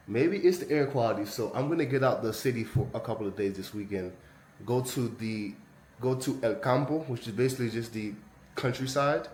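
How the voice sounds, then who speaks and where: A young man talking casually to camera in a vlog, relaxed and slangy. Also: lowish voice. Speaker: vlogger.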